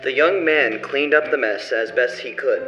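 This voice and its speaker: A man's voice: medium pitch, moderate pace, over music. A man reading scripted narration for an old-style radio play. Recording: dull lo-fi recording.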